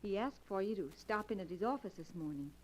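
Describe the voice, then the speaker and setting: An actress playing a soft-spoken, affectionate young wife in an old-time radio drama. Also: female voice, mid-range voice, expressive, brisk, slight background noise, natural voice, poor audio. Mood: tender. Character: gentle ailing young wife, tender. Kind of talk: 1940s radio acting.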